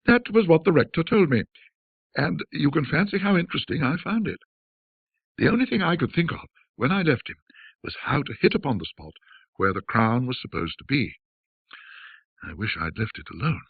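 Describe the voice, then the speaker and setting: A man with a husky, creaky voice narrates a classic ghost story. His pitch moves widely and theatrically, with measured phrasing and dramatic pauses. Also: sing-song, no echo, narrow, tinny audio.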